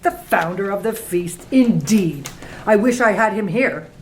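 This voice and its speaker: A woman playing a sharp-tongued, scornful Victorian wife in a radio play, with emphatic delivery. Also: medium pitch, lively intonation, measured pace, slight background noise, close to an everyday voice, rough recording. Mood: indignant. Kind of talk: theatrical radio play acting.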